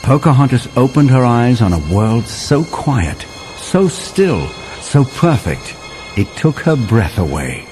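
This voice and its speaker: An animated settler storyteller. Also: male voice, mid-range voice, over music. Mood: wondering. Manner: animated, vivid storytelling with dramatic pauses. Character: male settler storyteller recounting a winter adventure to John. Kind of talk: dramatic children's story narration.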